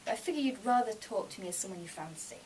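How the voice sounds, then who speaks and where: A woman's voice: mid-range voice, expressive, fast, a little mellow, lightly acted. A woman voicing a casual, matter-of-fact man who is Death, in a live story reading. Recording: distant mic, noisy room ambience.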